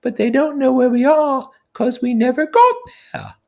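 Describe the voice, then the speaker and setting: A storybook schoolboy, bespectacled, clever and fretful. The voice is a very high, squeaky falsetto that pushes each point with pleading insistence. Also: male voice, fairly even intonation, normal speed, vocal fry, darkish tone. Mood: anxious insistence.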